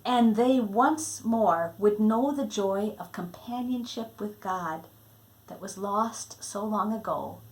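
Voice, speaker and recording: A woman reading a Christmas storybook aloud in an expressive, dramatic way, letting phrase endings fall hushed. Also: medium pitch, low-quality recording. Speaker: storybook reader.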